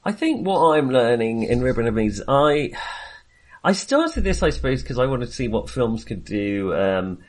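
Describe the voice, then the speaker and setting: A man co-hosting a film podcast, talking casually in a halting, rambling, self-deprecating way. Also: medium pitch, sing-song.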